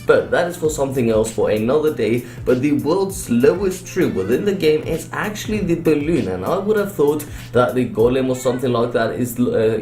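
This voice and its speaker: A young man giving casual, upbeat commentary over his own gameplay, his voice a little hoarse. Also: medium pitch, mellow. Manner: chatty, upbeat, many fillers. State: slightly hoarse. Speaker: gaming YouTuber. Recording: echoey room, distant mic, faint music. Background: faint background music.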